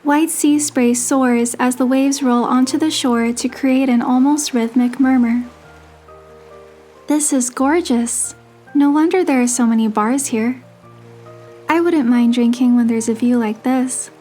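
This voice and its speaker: Woman as high-voiced gentle young romantic heroine. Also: steady tone, a little slow. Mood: tender, curious. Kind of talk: scripted first-person narration and dialogue. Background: background music with guitar.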